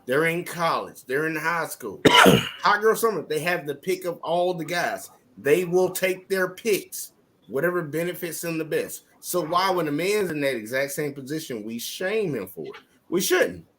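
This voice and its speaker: A man's voice: on the high side, normal speed. A man, hosting a casual podcast debate and laying out his views emphatically. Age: 30s. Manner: animated, emphatic, lecturing. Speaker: podcast host.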